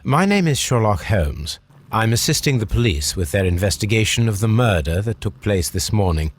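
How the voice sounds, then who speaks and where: A composed male detective in a video game. He has a deep, slightly rough English voice and questions people in a formal, measured way, probing with clipped politeness. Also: big pitch swings. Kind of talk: scripted video game acting.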